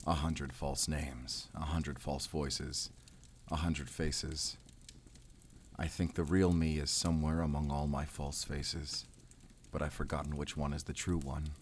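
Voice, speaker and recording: A man playing a brooding, world-weary werewolf hunter in an audio roleplay, speaking in a measured, solemn, introspective way. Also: extremely low pitch, little pitch movement, a little hiss or hum. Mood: brooding, regretful. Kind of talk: cinematic audio roleplay acting.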